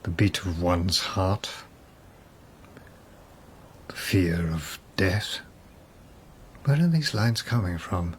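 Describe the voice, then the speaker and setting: A man's voice: sits low, animated, takes it slowly, pauses often, slight background noise. An actor in a radio drama playing a mad, doomed nobleman in a rambling, wry, reflective monologue. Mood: wry, resigned. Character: mad, doomed nobleman musing on his death.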